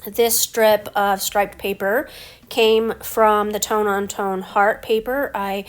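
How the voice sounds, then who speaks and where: A middle-aged woman with a stuffy head cold, talking through her scrapbook layout step by step in a relaxed voice-over. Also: medium pitch, slow pace. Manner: relaxed, explains each step as she works. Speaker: scrapbooking crafter. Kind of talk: casual craft voice-over.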